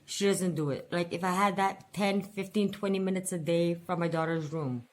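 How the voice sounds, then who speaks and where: A woman's voice: on the low side, steady tone, normal speed. A woman in her forties venting and rambling in a casual personal budget vlog, repeating words for emphasis. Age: 40s. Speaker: budget vlogger.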